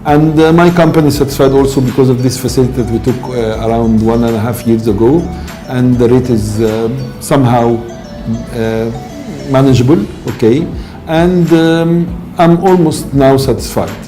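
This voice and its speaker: A man's voice: medium pitch, steady tone, normal speed, over music, low-quality recording. A man, a finance manager giving an interview testimonial in an office, talking with many fillers and restarts. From Egypt. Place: office indoors. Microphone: lapel.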